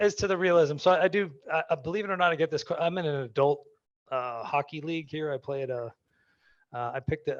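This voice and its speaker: A man's voice: fairly high pitch, dry recording, highs cut off. A man, answering audience questions in a conversational way full of restarts. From Maine, US. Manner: conversational, many restarts and fillers. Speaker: guest speaker.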